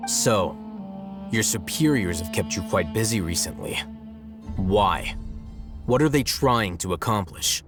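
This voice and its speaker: Cool, low-voiced young scholar. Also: male voice, a little slow, pauses often, music in the background. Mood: cool, pressing. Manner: calm, curt, condescending. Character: cool, shrewd young male scribe interrogating captives.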